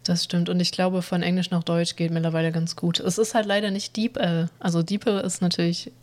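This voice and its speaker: A woman's voice: fairly low pitch, flat delivery, a little fast. A woman co-hosting a casual podcast, chatting loosely with a self-deprecating, laughing manner. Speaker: podcast co-host.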